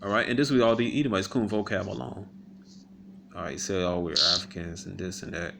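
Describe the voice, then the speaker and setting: A man giving casual commentary on a video. He reads viewer comments aloud, rebuts them, pauses often with "um," and keeps asking "all right?". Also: low voice, vocal fry, fairly bright tone, slight background noise. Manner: halting, many ums and repeated "all right?" tags.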